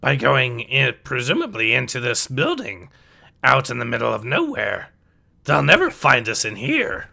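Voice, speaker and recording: A man's voice: mid-range voice, huge pitch swings, moderate pace, vocal fry, sharp tone, full character voice. A man voicing a small ancient alien Jedi master in a dramatic comic read-aloud, wise and solemn, with inverted word order and musing hums. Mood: solemn, teacherly.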